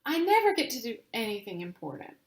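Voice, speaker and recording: An earnest young girl from a storybook , voiced by a woman, eager and a bit pouty. Her pitch is raised and swoops about, and the room echoes. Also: big pitch swings, a little fast.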